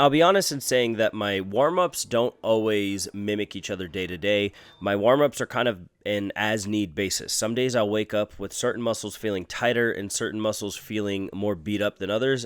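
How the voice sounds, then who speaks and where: Upbeat young man, stuffy nose. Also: lowish voice. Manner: upbeat, rambling with fillers. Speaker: fitness vlogger and trainer. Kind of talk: casual vlog and voice-over narration. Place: in a car.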